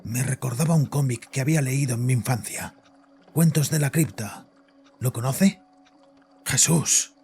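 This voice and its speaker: An actor playing a distraught, domineering father in an audio drama, with a gravelly, husky voice and a halting, anguished manner of confessing. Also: mid-range voice, a little mellow, a little hiss or hum. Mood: haunted.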